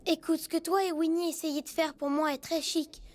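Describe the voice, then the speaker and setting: A woman's voice: very high-pitched, level tone, normal speed. A woman voicing a timid, stubborn young male whale in a cartoon, earnest and childlike. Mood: discouraged. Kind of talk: French cartoon dub acting.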